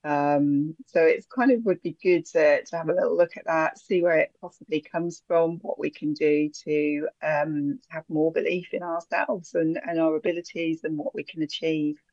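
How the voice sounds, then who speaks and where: A woman's voice: low-pitched, fairly even intonation, normal speed, hardly any pauses, darkish tone, no room sound, muffled recording. Chatty woman podcast host. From England. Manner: chatty, giggly, hesitant with many ums. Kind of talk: casual podcast chat.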